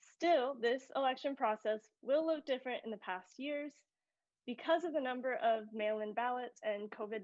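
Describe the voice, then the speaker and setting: A woman's voice: a little high, no echo. Upbeat woman host with muffled sound. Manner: upbeat, scripted delivery. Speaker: live stream co-host. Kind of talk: scripted hosting. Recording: dry, muffled audio.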